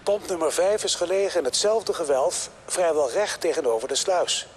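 Male actor as impassive control-room dispatcher, procedural, formal, slightly high pitch, radio drama, noisy backdrop. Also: lively intonation, moderate pace, natural voice. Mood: detached. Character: impassive recycling service dispatcher giving orders.

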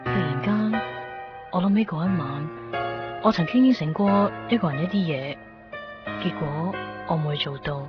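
Inner-voice narrator: actress playing a reflective, lonely young Hong Kong Cantonese woman, lowish pitch, clear guitar music underneath. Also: close to an everyday voice. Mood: wistful. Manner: reflective, measured. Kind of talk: dramatic monologue narration, radio drama. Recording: muffled radio broadcast with guitar music.

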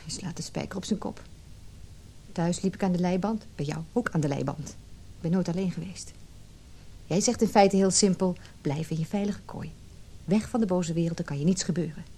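An actress playing a conflicted but resolute forty-year-old wife in a radio drama, earnest and measured. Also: female voice, fairly low pitch, warm tone, husky. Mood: bitter, resolute. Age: middle-aged. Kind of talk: radio drama acting with reflective narration.

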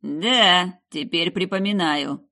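A woman voicing a gruff, suspicious male military commander in an audiobook, curt and grumbling. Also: fairly low pitch, slow, pushed and bright, full character voice. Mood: sceptical.

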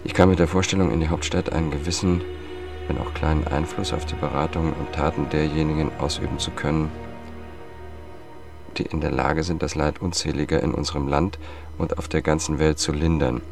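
A seasoned investigator in a radio drama, with an extremely deep voice. He is gruff, dry and unhurried, and music is clearly heard behind him. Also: steady tone. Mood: solemn reading. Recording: music bed under the voice.

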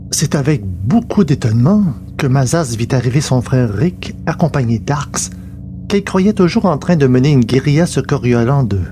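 Mellow male narrator of science fiction. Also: mid-range voice, big pitch swings, moderate pace, faint music behind.

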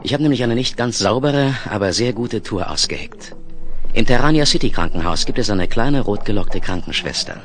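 An actor playing a crafty, daring, smooth-talking photo reporter in a 1970s German radio play. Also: male voice, lowish voice, lively intonation, moderate pace, background noise, close to an everyday voice. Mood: sly, confident.

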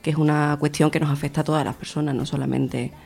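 A young woman with a gravelly, creaky voice gives interview answers. Her pitch moves very little, and she builds her thoughts hesitantly as she goes. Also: little pitch movement, fast talker, a little mellow. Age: young adult. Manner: hesitant phrasing, searching for words. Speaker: interview guest, feminist activist.

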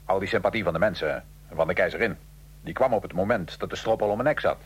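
A man playing a condemned Italian revolutionary in a radio drama, recounting his life in a measured, dry, ironic way. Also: deep voice, slightly rough. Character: Italian male revolutionary prisoner, condemned, dryly matter-of-fact. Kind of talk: radio drama acting, interview-style storytelling.

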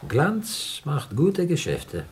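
An actor playing a wealthy, miserly older uncle in a radio play, lamenting and grumbling indignantly. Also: male voice, on the high side, wildly swooping pitch, very slow, faint music behind, heavily acted. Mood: sly. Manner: exclamatory, lamenting.

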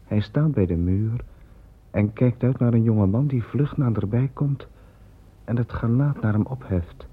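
Solemn slow-spoken male narrator. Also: medium pitch, husky. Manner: solemn, measured, incantatory. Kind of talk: solemn scripted radio narration. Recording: tinny old radio recording with music.

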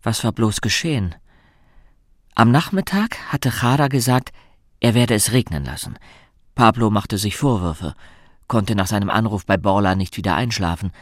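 An actor as the composed, observant narrator of a radio drama, reading scripted prose. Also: male voice, fairly low pitch, expressive, normal speed, dry recording, studio quality. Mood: composed, grave. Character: omniscient storyteller, composed and observant.

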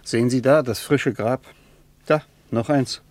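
An actor playing an insistent, emphatic traveller in a radio play. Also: male voice, mid-range voice, steady tone, measured pace, a little mellow, dry recording, natural voice. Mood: insistent, sombre. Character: insistent male traveller pointing things out.

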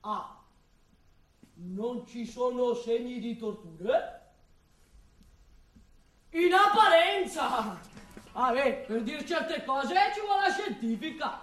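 A woman's voice: on the high side, sing-song, slow pace, long pauses, a bit bright, soft background music, exaggerated voice. A woman playing a blustering, defensive male building foreman, rambling and interrupting herself in a radio drama monologue. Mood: nervous, evasive. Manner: agitated, rambling, self-interrupting. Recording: echoey room, distant mic.

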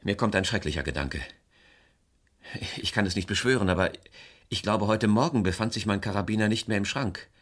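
Low-voiced, troubled suspect. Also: male voice, a little fast, fairly bright tone. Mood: shaken, brooding. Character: troubled, defensive man named Paul under suspicion, crime suspect. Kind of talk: German radio crime drama acting.

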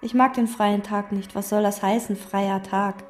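An actress playing an obsessive, lonely young chambermaid who speaks in terse, intense lines and inner monologue in a radio drama. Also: female voice, medium pitch, steady tone, moderate pace, soft background music, lightly acted. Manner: terse, intense, sometimes whispered.

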